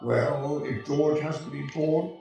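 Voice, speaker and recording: A man's voice: on the high side, steady tone, takes it slowly, vocal fry, dark tone. A man playing a stern supervising angel in a radio play, authoritative and admonishing. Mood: reproving. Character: stern, supervising male senior angel, patient but reproving. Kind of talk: 1940s-style radio play acting. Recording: echoey room with music.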